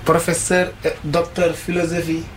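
Halting talk show host. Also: medium pitch, flat delivery, a little hiss or hum. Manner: halting, rephrasing.

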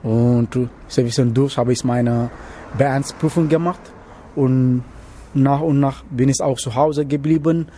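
A young man from Senegal who learned German as an adult, answering interview questions about his cooking apprenticeship, often pausing to search for words. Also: medium pitch, fairly even intonation, warm tone, a little hiss or hum. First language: not German. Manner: halting, searching for words with many hesitations. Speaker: interviewee, trainee cook. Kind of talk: casual interview.

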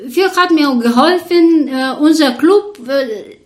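An older woman from Bulgaria with a Bulgarian accent, a violinist recalling her past in an interview in slow, hesitant German full of fillers. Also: sits high. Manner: hesitant, many fillers, searching for German words. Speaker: orchestra violinist. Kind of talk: interview recollection.

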